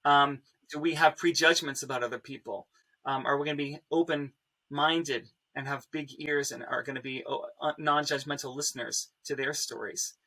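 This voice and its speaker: A man's voice: mid-range voice, steady tone, normal speed, pushed and bright, poor audio. A man on a discussion panel, thinking aloud thoughtfully and posing rhetorical questions. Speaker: storyteller panelist.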